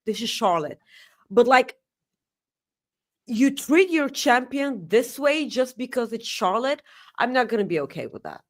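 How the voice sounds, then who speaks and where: A woman's voice: fairly high pitch, big pitch swings, unhurried, long pauses. A native Bulgarian speaker, this woman co-hosts a casual wrestling talk show with blunt, emphatic, sweary opinions. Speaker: co-host of a wrestling preview show.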